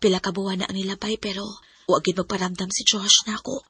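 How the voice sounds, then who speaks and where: A woman's voice: medium pitch, little pitch movement, quick pace, sharp tone, slightly rough. An actress with a Cebuano accent playing a plaintive, lovelorn young woman in a Bisaya radio drama. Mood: heartbroken. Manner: plaintive, sighing. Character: lovelorn young woman, jilted and clinging to love.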